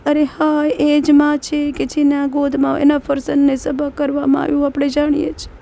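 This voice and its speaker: A woman's voice: sits high, completely flat delivery, normal speed, creaky, mellow. A woman reciting a religious mourning account, lamenting and speaking directly to the mourners.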